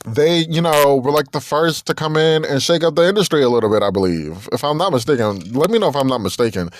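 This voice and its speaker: A man's voice: a little high, lively intonation, quick pace. A man in his twenties hosting a casual solo podcast, chatty and rambling, correcting himself as he goes and breaking into snatches of songs. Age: 20s. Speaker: podcast host.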